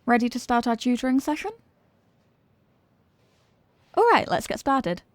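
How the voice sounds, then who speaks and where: A woman's voice: a little high, big pitch swings, unhurried, no room sound. A woman playing an evasive, flustered popular cheerleader being tutored, in an acted ASMR roleplay with a hesitant, defensive delivery. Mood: studious, casual. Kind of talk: ASMR roleplay, acted one-sided conversation.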